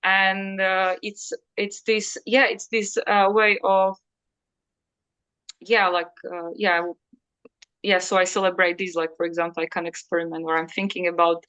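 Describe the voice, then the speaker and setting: A woman whose first language is Slovak, talking in a reflective interview and often pausing and searching for words. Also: lowish voice, little pitch movement, fairly bright tone, smooth. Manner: halting, searching for words, many fillers. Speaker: interview guest.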